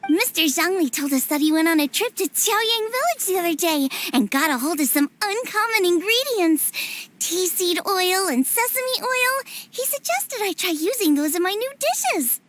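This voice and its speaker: A woman's voice: very high voice, animated, moderate pace, a bit bright, faint music behind. A woman voicing a bubbly, caring young girl cook in a video game, with a cute, earnest delivery. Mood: cheerful. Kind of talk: animated video game dialogue.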